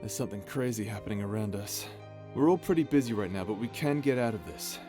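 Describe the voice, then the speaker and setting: A man acting in an ASMR audio drama as a caring, steady space pirate captain with a casual, teasing, reassuring manner. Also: fairly low pitch, quick pace, lightly acted. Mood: brisk, worried. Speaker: voice actor in audio roleplay. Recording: music under the voice. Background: music.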